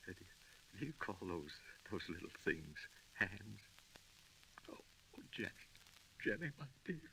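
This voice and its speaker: An actor playing an anxious, tender new father in an old-time radio drama, halting and repeating his words. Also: low-pitched, big pitch swings, vocal fry, bright, forceful tone, exaggerated voice, low-quality recording. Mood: moved, tender. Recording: old hissy radio recording.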